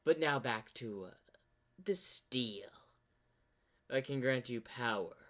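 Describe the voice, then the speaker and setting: A man's voice: mid-range voice, expressive, slow, fairly bright tone. A man voicing an ancient corrupted zebra spirit from a fan-made fantasy cartoon, smug and sly, with a theatrical, teasing drawl. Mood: smug, casual.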